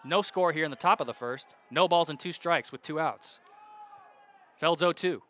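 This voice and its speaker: A young man calling baseball play-by-play on radio, walking through counts and base runners in a steady flow. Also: a little high, moderate pace. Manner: steady count-and-situation narration, rising loud on hits. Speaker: play-by-play announcer.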